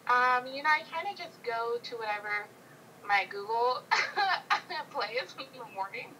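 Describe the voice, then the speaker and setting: A woman with a bright, slightly high voice chats playfully on a video call and giggles often. A faint hiss sits under her audio. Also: animated, unhurried, rough recording. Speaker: guest, improv performer. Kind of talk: casual chat over video call.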